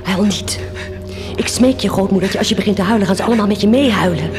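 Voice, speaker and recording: A woman's voice: mid-range voice, fast talker, close to an everyday voice. An actress in a Dutch radio drama playing a stern, defiant young woman who tends the sick, speaking bluntly and firmly. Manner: blunt, firm. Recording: music behind the voice.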